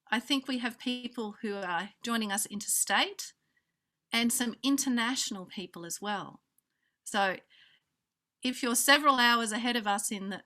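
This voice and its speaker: Woman, Australian accent, conference host, bright tone, halting with fillers, video call. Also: on the high side. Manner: warm hesitant introductions with many fillers. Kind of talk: welcoming remarks on a video call.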